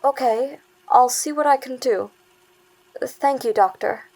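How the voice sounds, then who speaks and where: A woman's voice: high-pitched, a little slow, darkish tone, low-quality recording. A woman playing an anxious, wary young therapy patient in an audio drama, earnest and hesitant in manner. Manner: hesitant, earnest, stammering under pressure.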